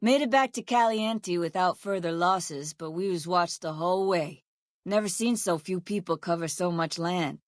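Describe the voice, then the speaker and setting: A woman's voice: on the low side, normal speed, dry recording, clean studio sound, natural voice. A woman playing a foul-mouthed, sardonic ex-sheriff turned caravan guard in a video game, blunt and folksy in her speech. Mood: weary, matter-of-fact. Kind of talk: video game character voice lines.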